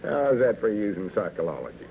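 A man's voice: lowish voice, sing-song, normal speed. A man playing a scheming, self-important rustic storekeeper turned lawyer, with an Arkansas hillbilly accent and folksy, blustering talk in 1940s radio comedy style. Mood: smug. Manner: folksy, blustering, backwoods grammar. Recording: noisy, narrow-band 1940s radio recording.

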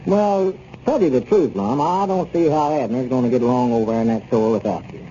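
An actor playing a well-meaning small-town neighbor in a 1930s radio comedy, placating and folksy. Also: male voice, medium pitch, brisk, dark tone, close to an everyday voice. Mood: earnest, placating. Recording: noisy dull 1930s radio recording.

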